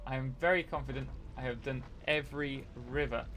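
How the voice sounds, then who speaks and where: A man's voice: mid-range voice, steady tone, a little fast, fairly bright tone. A young man hosting a casual live stream while playing a game, rambling and answering chat messages.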